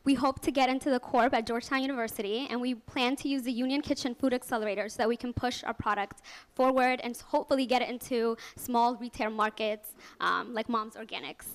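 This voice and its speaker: A young woman from Ethiopia pitches her student startup to a live audience. Her voice sits somewhat high, and she sounds nervous but earnest, laughing often and leaning on fillers. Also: steady tone, brisk, hardly any pauses. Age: young adult. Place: venture lab event room.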